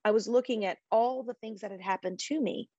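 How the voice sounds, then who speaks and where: A woman's voice: fairly high pitch, a little fast, warm tone, no echo, lo-fi sound. A middle-aged woman guest in a faith-based interview, speaking with passion in a preacher-like way and quoting scripture.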